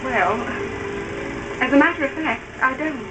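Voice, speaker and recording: An actress playing an exasperated young wife, curt and indignant, in an old-fashioned drama style. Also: female voice, sits high, unhurried, background noise. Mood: irritated. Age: young adult. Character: exasperated young wife standing up to mother-in-law. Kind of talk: 1930s-style radio drama acting.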